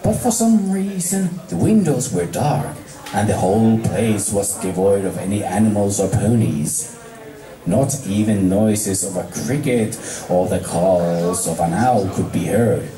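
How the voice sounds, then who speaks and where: Slow, halting man reading in echoey room. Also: fairly low pitch, animated, crowd noise. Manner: halting, stumbles over words. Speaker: fan fiction reader. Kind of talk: amateur story narration, read aloud. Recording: roomy echo, distant from microphone.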